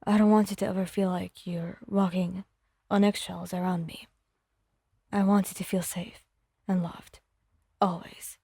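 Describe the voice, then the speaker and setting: A woman voicing a gruff young man who is a remorseful, tender boyfriend, speaking softly and earnestly in an ASMR fan fiction roleplay. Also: level tone, a little slow, long pauses, no room sound, studio quality, exaggerated voice. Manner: soft, low, earnest.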